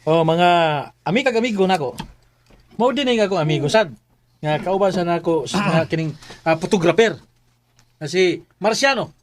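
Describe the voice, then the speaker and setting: A man's voice: high voice, moderate pace, long pauses, natural voice. An actor playing a cheerful, pushy young male buddy with a Filipino Bisaya accent, bantering in a radio drama. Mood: teasing, friendly. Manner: bantering, questioning tags.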